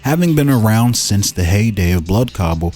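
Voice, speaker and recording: A man's voice: lowish voice, lively intonation, moderate pace, a little mellow. A man hosting in a casual style, reading game lore aloud and breaking off into musing, informal asides. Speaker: host presenting fan-made game lore. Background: music.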